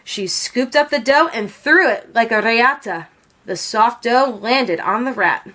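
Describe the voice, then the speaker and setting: Female storybook narrator, expressive, highish voice, very lively intonation. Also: unhurried. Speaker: storybook read-aloud narrator.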